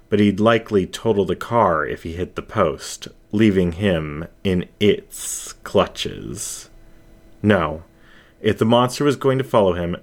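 Male narrator, very low pitch, slow pace, scripted fiction reading.

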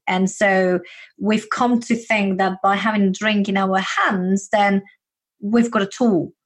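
Encouraging Spanish-accented woman. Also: mid-range voice. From Spain. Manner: earnest, encouraging, with frequent fillers. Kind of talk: casual advice chat.

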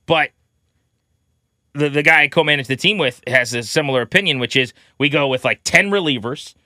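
A man's voice: on the high side, a little fast, bright, forceful tone, no room sound, clean studio sound. A man co-hosting a sports podcast, chatting casually about baseball in an opinionated, conversational way.

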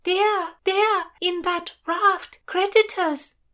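A woman acts out excitable court ladies in a storybook, voices lifted high and shrill. They call out in short exclamations, both imperious and teasing. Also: extremely slow, heavily acted. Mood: imperious alarm. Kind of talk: audiobook character acting.

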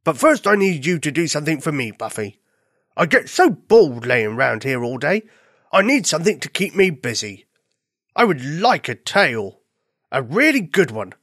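A woman voicing a bored, good-natured male farm dog in a children's story, with a slow, drawn-out delivery. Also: low-pitched, big pitch swings, a bit bright, dry recording, clean studio sound, exaggerated voice. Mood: bored, obliging.

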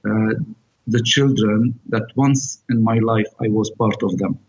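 Male interview guest, middle-aged, Lebanese accent, lowish voice, earnest halting delivery, slightly dull lo-fi audio. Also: steady tone, a little mellow, breathy edge. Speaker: interview guest, aid director. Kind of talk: conversational interview answers.

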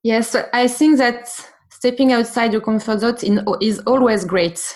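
A woman's voice: a little high, measured pace. A woman with a French accent, a painting teacher who speaks earnestly and sometimes searches for words. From France. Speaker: art teacher, guest. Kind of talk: casual conversation.

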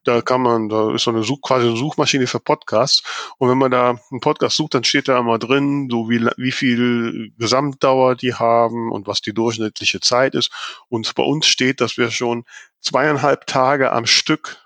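Man, podcast host, mid pitch, hesitant phrasing, casual chat, dry recording. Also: fairly even intonation, moderate pace, fairly bright tone, dry recording. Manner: hesitant, searching for words.

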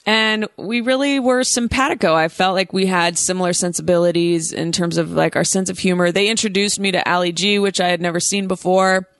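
A woman's voice: fairly low pitch, steady tone, a little fast, hardly any pauses, no echo. American lady host, bubbly and goofy, dry close mic. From United States. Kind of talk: casual chat.

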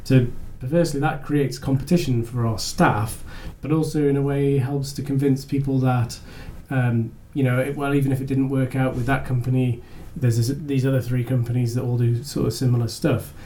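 A man's voice: mid-range voice, level tone, normal speed, hardly any pauses, dark tone, a little hiss or hum. A man hosting a podcast interview who talks in a loose, rambling way, often restarting his sentences. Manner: rambling, self-correcting, with light laughs. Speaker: podcast host. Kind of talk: conversational interview chat.

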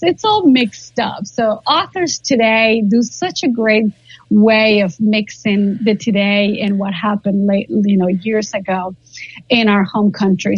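A husky, fairly high-voiced woman in her forties, Spanish her first language, talks freely as an interview guest, stumbling and repeating words as she gathers her thoughts. The sound is dull and lo-fi. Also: no room sound. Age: 40s. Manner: chatty, repeats words while thinking, laughs often. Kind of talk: casual interview chat.

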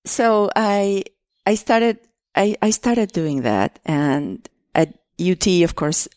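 A woman from Argentina with an Argentine Spanish accent, a native Spanish speaker, talking as an artist guest in a casual interview, pausing and restarting often. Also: mid-range voice, a little mellow, dry recording, studio quality. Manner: hesitant, many restarts and fillers.

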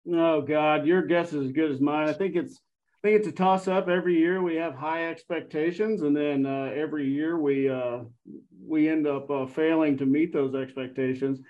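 A man joins a sports podcast as a guest and rambles with lots of fillers. His voice sits a bit high. The recording sounds dull and lo-fi. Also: steady tone, moderate pace. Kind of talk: casual sports chat.

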